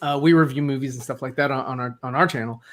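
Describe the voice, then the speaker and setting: A man's voice: mid-range voice, fairly even intonation, fast talker, fairly bright tone. A man in his forties on a podcast panel, laughing and teasing over a video call. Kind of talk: casual chat.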